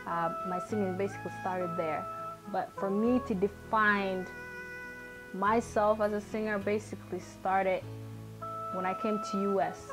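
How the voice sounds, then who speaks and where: A woman's voice: on the low side, poor audio. A young woman from South Sudan, a musician, telling her story in an earnest, chatty way with fillers and restarts. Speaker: guest musician. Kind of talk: casual personal storytelling.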